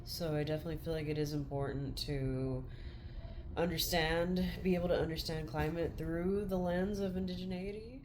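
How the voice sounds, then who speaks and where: Low-voiced woman in her thirties. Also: steady tone, normal speed, darkish tone, a little hiss or hum. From Torres Martinez Reservation, California. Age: 30s. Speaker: interviewee. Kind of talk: documentary interview.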